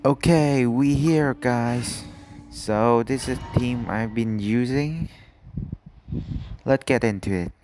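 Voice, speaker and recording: A man's voice: mid-range voice, takes it slowly, darkish tone. A man giving informal, casual reaction commentary as he streams a video game. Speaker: gaming streamer reacting to a story quest. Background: game music.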